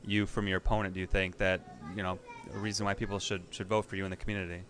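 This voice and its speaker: A man's voice: low voice, little pitch movement, fast. A male reporter asking casual interview questions, rambling and restarting as he goes.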